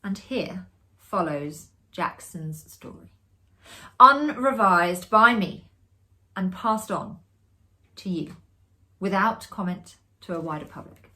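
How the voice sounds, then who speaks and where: A woman giving a theatrical, expressive reading of a classic ghost story, with deliberate phrasing. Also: lowish voice. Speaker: amateur actor reading a story aloud.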